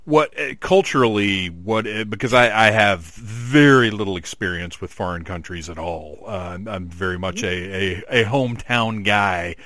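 A fairly low-voiced man hosts the interview in an easygoing way, often restarting his sentences as he feels out a question. His voice is close and dry, with little room sound. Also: normal speed, hardly any pauses, a bit bright, no echo. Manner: casual, restarts, searching for words. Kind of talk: conversational interview.

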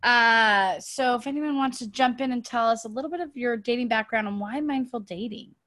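A woman who is a dating coach, hosting a casual podcast chat in a teasing, animated way. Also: a little high, brisk, no room sound, clean studio sound. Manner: teasing, animated, laughs often.

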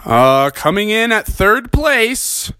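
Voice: mid-range voice, very animated, bright, forceful tone, no echo, studio quality, voice pushed up, heavily acted. An adult storyteller voicing a formal contest announcer in a bedtime story, drawing the words out. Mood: announcing. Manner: drawn-out, announcing.

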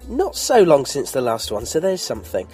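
A man's voice: mid-range voice, huge pitch swings, normal speed, darkish tone, faint music behind. A middle-aged man with an English accent, bunged up and throaty with a cold, chatting informally as a solo podcast host with wry, self-deprecating asides. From England. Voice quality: congested, throaty.

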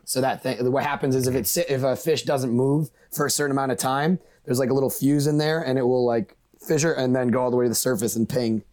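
A man explains things in a casual interview style at a rapid clip. His voice sits at an average pitch, and his manner is informal and keen, as a guest on a podcast would be. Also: steady tone, a little mellow. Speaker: podcast guest, project member.

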